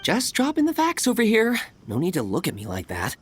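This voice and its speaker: A woman's voice: fairly low pitch, wildly swooping pitch, fairly quick, a little hiss or hum. A woman voicing a blunt, offhand young townsperson in a video game, with a shrugging manner. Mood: flippant.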